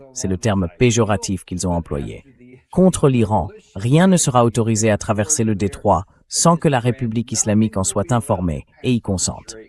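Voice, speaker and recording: A man's voice: on the low side, a little mellow. A man hosting a political interview, speaking in a dubbed news commentary style. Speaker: interview host.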